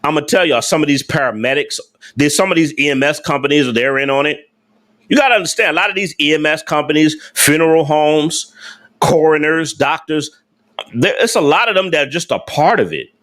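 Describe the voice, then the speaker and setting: A man's voice: fairly high pitch, brisk, a bit bright. A man hosting a casual livestream, talking emphatically to his viewers with slangy speech and repeated rhetorical questions. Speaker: livestream host.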